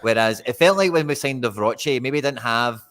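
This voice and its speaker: A man's voice: mid-range voice, moderate pace. A man co-hosting a fantasy football live stream, casually going through player stats. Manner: steady, reads out player statistics. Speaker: fantasy football podcast co-host.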